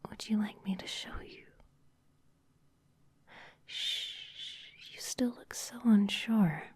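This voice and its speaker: A woman's voice: medium pitch, slow, husky. A woman playing a seductive, domineering vampire office boss in an ASMR roleplay, whispering breathily in a teasing, commanding manner. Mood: commanding, hungry.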